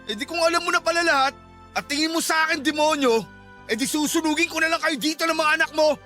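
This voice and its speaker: An actor with a Filipino accent playing a manipulative, lying ex-boyfriend in a dramatic radio drama style. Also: male voice, very high-pitched, quick pace, sharp tone, soft background music. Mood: menacing. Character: manipulative, lying ex-boyfriend, by turns wheedling and menacing.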